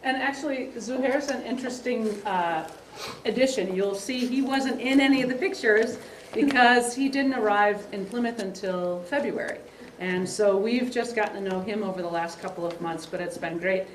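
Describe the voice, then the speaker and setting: Lady rambling with lots of ums at a meeting, roomy echo, bit of hiss. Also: mid-range voice. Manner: rambling, halting with fillers and restarts. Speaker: exchange program coordinator. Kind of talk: presentation to a meeting. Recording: roomy sound from a distant mic, faint hiss.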